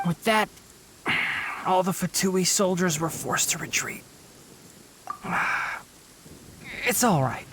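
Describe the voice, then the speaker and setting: An actor playing a proud young male forest healer who is hurt, his light, boyish voice breathy, tired and measured, in a video game. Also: expressive, fairly bright tone, heavily acted, poor audio. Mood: strained, concerned. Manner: measured, weary, understated. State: pained and tired from a wound. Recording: game ambience with low rumble.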